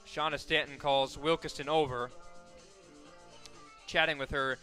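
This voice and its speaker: An American man calling live softball play-by-play as a radio announcer, conversational and ready to lift with the action. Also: fairly high pitch, unhurried, soft background music. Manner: conversational between plays, excited calls on action.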